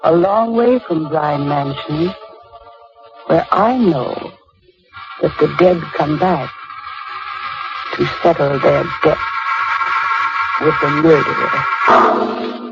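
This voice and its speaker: A woman's voice: sits low, slow, pauses often. A haunted young daughter in an old radio horror play. She speaks in a dramatic, narrating style. The sound is dull and vintage, with music underneath. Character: haunted young daughter recounting a ghostly revenge.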